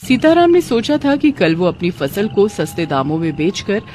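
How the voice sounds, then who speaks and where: A woman's voice: medium pitch, big pitch swings, moderate pace, darkish tone, slight background noise. A woman narrating a scripted village cartoon story.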